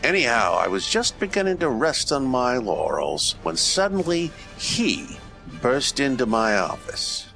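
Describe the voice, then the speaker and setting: Man playing a deadpan hardboiled Roman private eye. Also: mid-range voice, a little slow, creaky, faint music behind, full character voice. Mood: wry narrating. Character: wisecracking hardboiled ancient Roman private detective, male. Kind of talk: old-time radio detective comedy narration.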